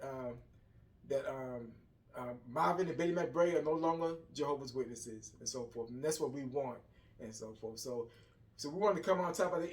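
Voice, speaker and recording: A man's voice: fairly high pitch, distant mic. A middle-aged man chatting casually as a co-host, heard in a roomy echo away from the mic. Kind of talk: casual chat.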